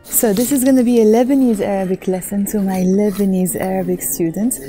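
A woman in her thirties giving a vlog language lesson, talking softly and a little shyly, first in a train station and then on a busy street, into an earphone mic. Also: mid-range voice, steady tone, a little fast, faint music behind. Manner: soft-spoken and shy, then louder and brighter outdoors. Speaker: language teacher. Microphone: earphone headset mic.

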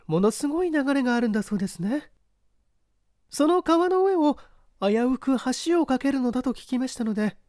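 A man's voice: very high-pitched, darkish tone, no room sound, clean studio sound, voice pushed up. A man voicing a chatty, coquettish wife in a storybook reading, with polite, gushing feminine speech. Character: coquettish, chatty wife of a railway section chief.

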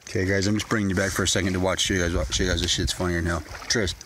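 A man's voice: deep voice, flat delivery, rapid-fire. A man in his thirties talking casually to his phone camera outdoors by a creek, blunt and profane, rambling as he goes. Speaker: vlogger. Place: outdoors by a creek on a sunny hillside. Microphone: phone held at arm's length. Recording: phone audio with loud outdoor noise.

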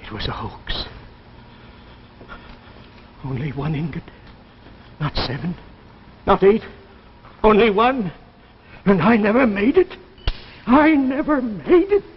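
An agitated old alchemist, obsessed and bitter, with a very high, wildly swinging voice. He accuses and declaims in old television drama fashion. The muffled 1950s soundtrack completes it. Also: very animated, slow pace, pauses often, a little hiss or hum, exaggerated voice. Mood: stunned, broken. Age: older.

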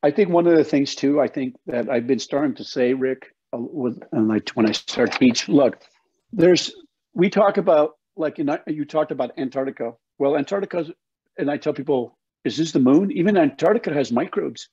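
A man's voice: fairly high pitch, lively intonation, normal speed, a little mellow, slightly rough. An older man, a guest educator explaining things in an emphatic, folksy interview style on a video call. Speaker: guest, soil health educator. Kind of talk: passionate explanatory interview talk. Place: home office, indoors. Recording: slightly dull video call audio.

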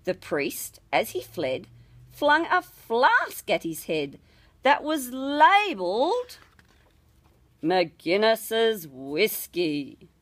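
A woman reads rhyming verse aloud in a fairly high voice. Her delivery is very unhurried and highly varied in pitch. She shapes it as dramatic, expressive storytelling. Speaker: storybook reader. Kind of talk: expressive scripted ballad reading.

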